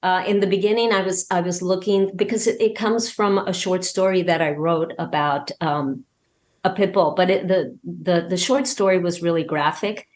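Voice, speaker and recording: A woman with a slightly low voice chats as a guest on a podcast. She sounds keen and excited, talking in a halting, conversational way and doubling back on her words. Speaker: podcast guest, audio drama creator. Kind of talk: casual interview chat.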